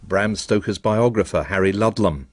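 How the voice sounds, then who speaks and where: A man's voice: fairly low pitch, no room sound, clean studio sound. A man presenting a radio arts documentary in dramatic scripted narration. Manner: dramatic, slow-paced narration.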